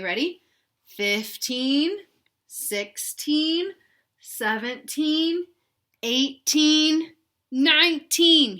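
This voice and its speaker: Casting: woman storyteller for children, bright and a touch high, expressive, talks directly to little listeners in simple words. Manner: asks young listeners questions, explains in simple words. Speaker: read-aloud storyteller for children. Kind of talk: picture book read-aloud with asides to children.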